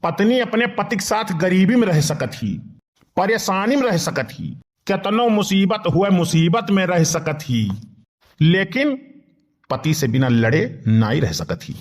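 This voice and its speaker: A man's voice: high-pitched, sing-song, a little slow, full character voice. A man voicing a teasing village fellow in a comedy cartoon, speaking colloquially with an Awadhi accent. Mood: casual, matter-of-fact.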